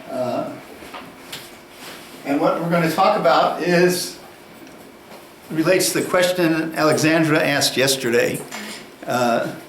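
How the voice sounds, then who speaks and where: A man giving a university lecture, deliberate and unhurried, posing questions and then answering them. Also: a little high, fairly bright tone, a little hiss or hum. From United States. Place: lecture room. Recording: echoey room, distant mic, faint ambience.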